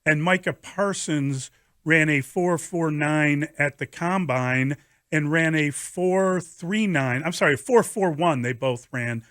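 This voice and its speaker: A man's voice: a little high, takes it slowly, a bit bright, no echo, studio quality. A man hosting a sports radio show, talking through football in a conversational style.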